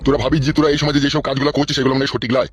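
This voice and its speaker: A man's voice: mid-range voice, flat delivery, very fast, slight background noise, lightly acted. A man voicing a bullying, scheming local politician in a comic cartoon, scolding and interrogating coarsely. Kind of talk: comic cartoon dialogue in colloquial rural Bengali.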